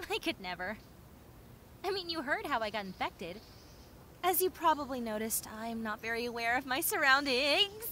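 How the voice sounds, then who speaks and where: A woman playing a friendly, clumsy young female zombie in a roleplay audio drama, talking in a chatty, expressive, rambling way. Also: sits high, moderate pace, background noise. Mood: chatty, sincere. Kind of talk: roleplay audio drama, conversational monologue addressed to listener.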